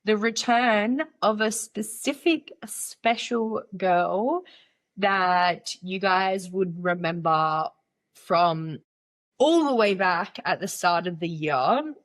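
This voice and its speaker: A young woman hosting a casual solo podcast, with a nasal voice from a cold, talking in a candid, playful and chatty way. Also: lowish voice. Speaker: podcast host.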